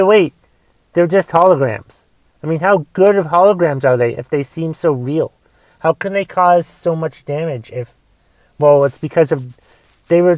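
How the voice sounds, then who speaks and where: A man in his forties with a raspy voice from a cough, casually rambling through a movie review on his podcast and thinking aloud with lots of fillers. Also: fairly high pitch, no echo. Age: 40s. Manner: thinks aloud, musing questions, trailing fillers. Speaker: podcast host.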